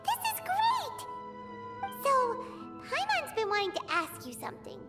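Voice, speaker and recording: A tiny fairy companion from a video game. The voice is extremely high and swings wildly up and down, childlike and excitable. She chatters with curiosity over prominent music. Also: measured pace, full character voice. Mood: chatty, curious. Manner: speaks of self in third person, exclaims. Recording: music behind the voice.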